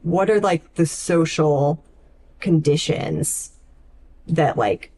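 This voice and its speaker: A woman co-hosting a podcast, casually discussing literature in a thoughtful, halting way with frequent "like". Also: low-pitched, dark tone. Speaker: podcast co-host.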